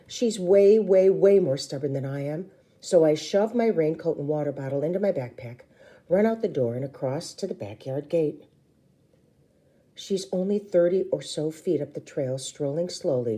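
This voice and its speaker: Mellow, animated woman reading a storybook. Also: deep voice, normal speed. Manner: theatrical, acts out dialogue. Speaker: storybook reader. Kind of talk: expressive children's book narration.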